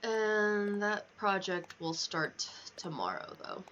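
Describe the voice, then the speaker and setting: Casting: female game streamer, mid pitch, casual thinking-aloud mutter, muffled lo-fi recording with noise behind. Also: big pitch swings, slow, fairly bright tone. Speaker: livestreamer. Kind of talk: casual chat while playing a video game. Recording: dull lo-fi mic, clear background noise.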